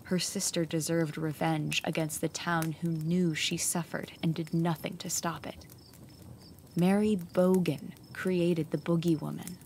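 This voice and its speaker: Low-voiced woman narrating a horror tale. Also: level tone, unhurried, darkish tone, slight background noise. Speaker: storyteller. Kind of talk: scripted horror story narration. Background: music.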